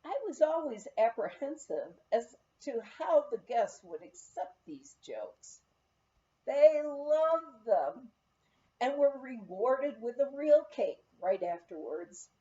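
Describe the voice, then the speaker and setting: Lady reading a memoir out loud, takes her time, really animated storytelling, kinda muffled sound. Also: fairly high pitch, slow pace. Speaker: audiobook reader.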